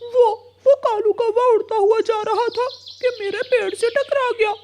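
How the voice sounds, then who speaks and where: A woman voicing a kindly, anxious male parrot in an animated children's story, earnest and pleading. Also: very high-pitched, moderate pace, a little hiss or hum. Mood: upset.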